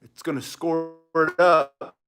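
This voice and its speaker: Energetic man teaching self-defense. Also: on the high side, takes it slowly, background noise. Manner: energetic, chatty, punchy short phrases. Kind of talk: casual instructional demonstration.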